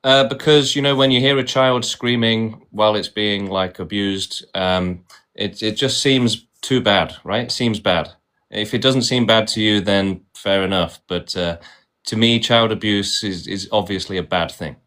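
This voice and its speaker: Man, fairly low voice, host arguing hard with guests, keeps saying right. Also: a little slow, fairly bright tone. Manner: insistent, repeats "right?". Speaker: host of a debate stream. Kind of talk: argumentative debate.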